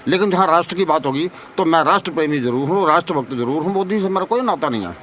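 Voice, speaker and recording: A man's voice: on the high side, expressive, fast, a bit bright. A man giving opinionated street interview answers outdoors, emphatic and repetitive, full of rhetorical questions. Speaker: member of the public being interviewed. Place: outdoors in a city market. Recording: faint outdoor street noise.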